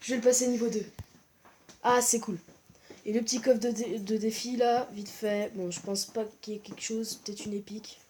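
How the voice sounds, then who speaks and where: A woman's voice: a little high, steady tone, moderate pace, warm tone, slight background noise. Female gaming host, stuffed up with a cold, exclamatory, commentating a match as it happens. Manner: exclamatory, repeats short interjections. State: congested with a cold. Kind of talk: live excited gameplay commentary.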